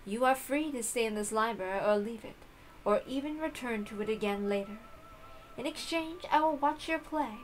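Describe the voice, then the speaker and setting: Woman voicing a quiet, aloof lady witch. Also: fairly high pitch, normal speed, slight background noise, natural voice, rough recording. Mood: aloof, calm.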